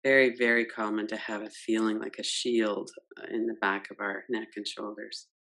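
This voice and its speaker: A woman leading a guided yoga nidra meditation, gentle and unhurried, guiding with soft questions. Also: rumbling low, little pitch movement, creaky. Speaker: meditation teacher.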